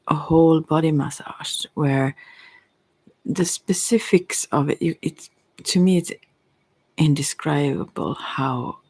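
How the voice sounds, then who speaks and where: A woman who is a non-native English speaker, chatting in soft-spoken ASMR style, hesitant and meandering, often slipping into whispers close to the mic. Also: deep voice, creaky, no echo. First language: not English. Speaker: ASMR creator and tarot reader.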